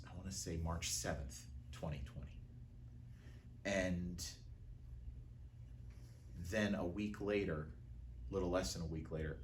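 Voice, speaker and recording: An American man with a low-pitched voice talks in an interview. He recounts things in a conversational, storytelling way. A light layer of background noise sits behind him. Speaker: art director of a theater and radio drama troupe, interviewee.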